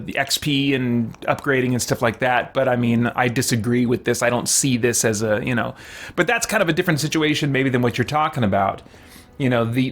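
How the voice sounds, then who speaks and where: A man with a mid-pitched voice hosts a gaming session in a casual, reflective way. He thinks aloud, hesitating and circling back to qualify what he says. Music plays underneath his talk. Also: a bit bright. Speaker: host of a game let's-play. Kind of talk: casual reflective chat to viewers. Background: background music.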